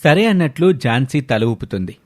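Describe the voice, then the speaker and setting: A man's voice: mid-range voice, sing-song, moderate pace, darkish tone. A man narrating a scripted moral story.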